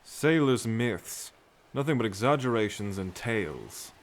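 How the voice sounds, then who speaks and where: A man's voice: fairly low pitch, lively intonation, measured pace, a little hiss or hum. A man playing a sceptical, grumbling ship's crewman with a dismissive manner in an audio drama.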